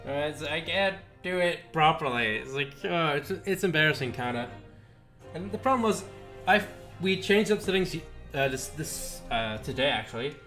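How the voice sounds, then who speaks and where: A man's voice: on the high side, animated, normal speed, pushed and bright, soft background music. A man chatting casually as he livestreams a game, playful, self-deprecating and loose with swearing. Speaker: game streamer. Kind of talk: casual livestream chat while playing.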